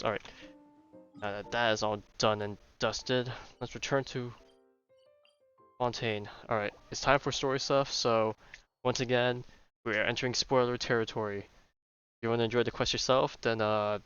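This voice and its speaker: Male game streamer, mid-pitched, casual and rambling commentary, slightly muffled audio over game music. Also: fairly even intonation, normal speed, a bit bright, clear, resonant tone. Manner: rambling, thinking aloud. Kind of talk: casual live gameplay commentary.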